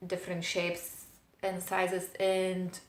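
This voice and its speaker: A woman in her thirties who speaks English as a non-native speaker with a noticeable foreign accent. She talks in a thoughtful, reflective monologue with pauses and fillers. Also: on the low side, completely flat delivery. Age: 30s. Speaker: vlogger. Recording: roomy echo.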